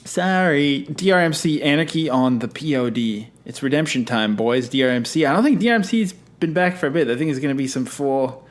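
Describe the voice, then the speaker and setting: A man's voice: mid-range voice, a little fast, few pauses. A young man co-hosting a podcast in loose, casual chat, laughing often and swearing freely. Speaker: podcast co-host.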